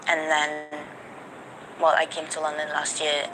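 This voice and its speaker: A young woman from Switzerland, a student, answering interview questions in a relaxed, thoughtful way with pauses. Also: low-pitched, background noise.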